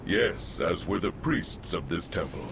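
A man's voice: low-pitched, expressive, unhurried, background noise. A man playing an ancient priest spirit in a video game cutscene, solemn and grave, speaking in formal oratory.

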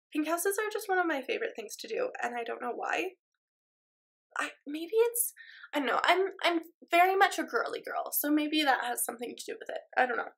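A woman's voice: high-pitched, creaky, fairly bright tone. A young woman with a stuffy nose, chatting casually over her game footage in a rambling way, full of ums and likes. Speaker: Sims speed-build YouTuber.

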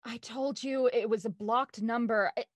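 A woman's voice: fairly high pitch, fairly even intonation, moderate pace, dry recording, close to an everyday voice. A woman playing an anxious, earnest young woman in an audio drama, hesitant yet insistent. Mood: worried, insistent. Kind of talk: naturalistic audio drama read-through. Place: home, on a video call.

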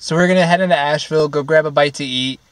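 A man's voice: a little high, rapid-fire, a little hiss or hum. A young man hosting a casual travel vlog, upbeat and joking, filmed on a camera at arm's length. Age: young adult. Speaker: travel vlogger. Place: outdoors on city streets and in a car. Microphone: camera held at arm's length.

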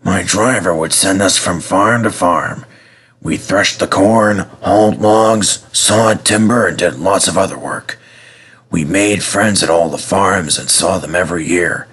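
A man voicing a gentle, melancholy old-fashioned traction engine in a storybook, speaking slowly and simply with a wistful manner. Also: low-pitched, breathy edge, heavily acted. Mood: wistful, fond.

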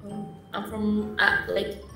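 A woman's voice: mid-range voice, completely flat delivery, slow, sharp tone, distant mic, soft background music. A young woman from Spain with Moroccan roots, frank and friendly on a video call, heard through echoey call audio. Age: young adult. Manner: friendly, frank, hesitant fillers. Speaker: video chat stranger. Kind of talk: casual video chat.

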